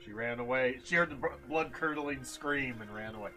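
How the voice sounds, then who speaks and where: A man playing a tabletop game, talking in a relaxed, joking way. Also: mid-range voice, fairly quick, soft background music, poor audio. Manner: laid-back, joking. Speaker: player. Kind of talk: casual chat at a game table.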